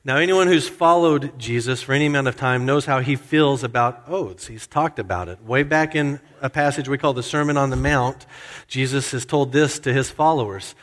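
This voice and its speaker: A man delivers an expository sermon, explaining each verse in turn. He acts out quoted lines in a raised, animated voice and then drops back to plain teaching. His mid-range voice carries a long reverb, as if heard in a large church. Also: mid-range voice, moderate pace. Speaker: pastor. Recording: hall reverb.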